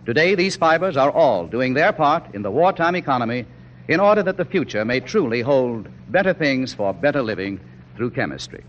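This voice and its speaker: Animated 1940s American radio pitchman. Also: moderate pace. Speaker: commercial spokesman. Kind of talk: scripted 1940s radio sponsor narration. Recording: dull, faintly noisy 1940s radio broadcast.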